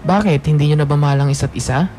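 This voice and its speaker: A man's voice: on the high side, fast, warm tone, a little hiss or hum, lightly acted. Man acting a sulky Filipino teenage son. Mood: hurt, pleading. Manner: snappy, petulant. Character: defiant, sulky teenage son, a rebellious student. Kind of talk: radio drama dialogue, Taglish.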